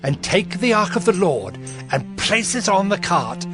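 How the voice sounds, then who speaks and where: High-voiced, solemn ancient priest. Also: male voice, very animated, unhurried, over music. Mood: commanding. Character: male Philistine priest, solemn, urgent counsellor of ancient times. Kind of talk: dramatized scripture acting.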